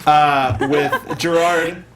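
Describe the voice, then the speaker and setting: A man's voice: on the high side, steady tone, extremely slow, a crowd in the background. A man hosting a film review show, chatting casually and riffing jokingly. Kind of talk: casual panel chat.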